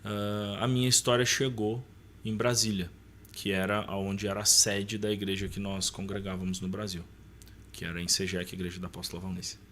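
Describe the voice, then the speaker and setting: A man's voice: on the low side, flat delivery, normal speed, a bit bright, slight background noise. A man with a southern Brazilian accent casually telling a personal story as a podcast guest, acting out dialogue as he goes.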